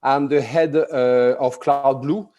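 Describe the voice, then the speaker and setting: A middle-aged man with a thick French accent giving a prepared conference talk, measured and full of "uh" pauses. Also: medium pitch, fairly even intonation, mellow, lo-fi sound. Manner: measured, frequent "uh" fillers. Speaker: conference presenter.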